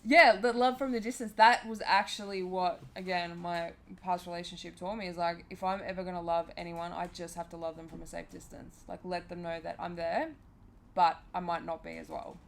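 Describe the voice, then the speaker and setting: A woman's voice: lowish voice, little pitch movement, brisk, vocal fry. Frank young woman hosting a podcast. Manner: frank, swears casually, trails off and restarts. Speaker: podcast host. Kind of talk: casual podcast conversation. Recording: faint background noise.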